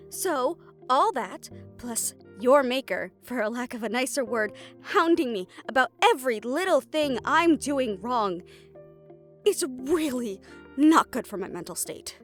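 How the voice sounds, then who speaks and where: Woman acting a high-pitched, frustrated siren sorceress. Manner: emotional venting, sarcastic and sweary, with heavy sighs. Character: frustrated, overworked siren-banshee sorceress, sharp-tongued but caring. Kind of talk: dramatic audio roleplay addressed to the listener.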